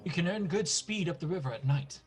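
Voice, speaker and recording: A man's voice: fairly high pitch, lively intonation, a little fast, over loud music, lightly acted. An actor in a radio play voicing an earnest, determined Englishman setting out his account.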